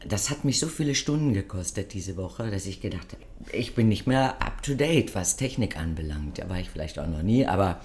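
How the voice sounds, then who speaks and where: A woman chatting casually as a podcast co-host, telling a story in a lively, self-mocking way, her nose stuffed up from a cold. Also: very deep voice, fairly quick, slight background noise. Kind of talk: casual chat.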